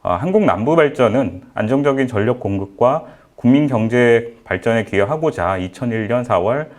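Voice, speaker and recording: Male guest presenter, mid pitch, formal and polite, light fillers. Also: expressive, moderate pace. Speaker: guest speaker at a seminar.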